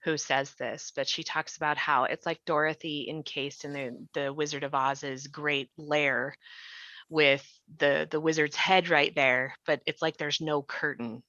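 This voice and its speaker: A woman's voice: low voice, steady tone, measured pace, bright, forceful tone, dry recording, highs cut off. A woman hosting a casual podcast discussion, explaining her points conversationally. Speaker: podcast host and writer.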